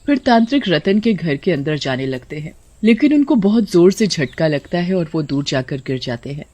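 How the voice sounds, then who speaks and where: A woman narrating a scripted story in an expressive storybook style. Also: fairly low pitch, moderate pace, no echo.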